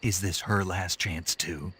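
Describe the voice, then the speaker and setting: Gruff game soldier, low-pitched, very raspy, clipped, dry, skeptical. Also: male voice, fairly even intonation, unhurried, bright, forceful tone, heavily acted. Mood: businesslike. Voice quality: gravelly, husky. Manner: terse, dry, skeptical questioning. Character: gruff, stoic male special-forces operative in his prime. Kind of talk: video game cutscene radio dialogue.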